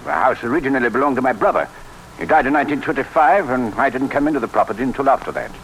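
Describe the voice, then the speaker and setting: An actor playing a nervous, apologetic gentleman in an old radio drama, with a British accent and a hesitant, stammering manner. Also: medium pitch, lively intonation, rapid-fire, natural voice. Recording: noisy vintage radio recording.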